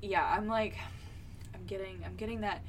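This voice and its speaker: A woman with a mid-pitched voice works through her points in a casual book discussion. She is analytical and somewhat halting as she explains. The mic is a little way off and there is faint noise behind her. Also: steady tone. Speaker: book podcast co-host. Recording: distant mic with background noise.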